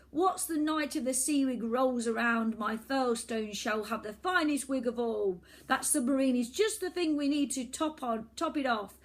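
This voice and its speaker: Storybook bully boy, pompous and shouty, pitched high; a woman puts him on. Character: boastful bullying boy villain, gleeful.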